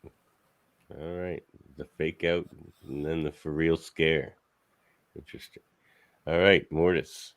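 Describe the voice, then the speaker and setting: A man with a very low voice moderates an informal debate. He speaks extremely slowly as host, casually steering the guests. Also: fairly even intonation.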